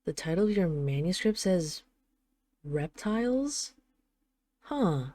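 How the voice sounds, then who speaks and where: A woman's voice: on the low side, sing-song, takes it slowly, mellow, dry recording. A woman playing a possessive dragon queen in an intimate roleplay audio drama, teasing, condescending and commanding. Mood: offended.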